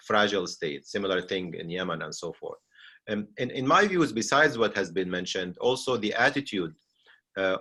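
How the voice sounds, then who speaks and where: A middle-aged man with a Syrian Arabic accent, moderating a seminar as a physician and speaking off the cuff with frequent pauses. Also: on the low side, a bit bright, slightly rough. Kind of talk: unscripted seminar discussion.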